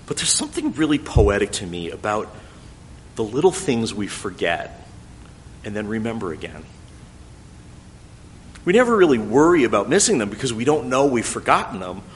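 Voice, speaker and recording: A middle-aged man whose first language is French, telling a thoughtful, gently wry personal story in English. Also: fairly low pitch, sing-song, normal speed, long pauses, slight background noise.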